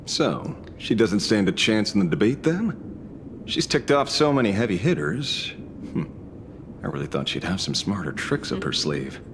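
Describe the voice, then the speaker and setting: A man's voice: on the low side, very animated, normal speed, background noise. An actor voicing a sly, confident young man in a video game, talking in playful, drawling banter. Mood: teasing. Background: game music.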